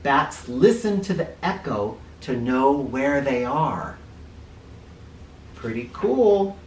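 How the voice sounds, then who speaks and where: Animated, slow-paced man reading to children. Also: mid-range voice. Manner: playful, explanatory, addressing young listeners with questions. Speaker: children's book reader and teacher. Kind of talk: animated read-aloud with asides for children. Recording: roomy, distant mic, faint noise.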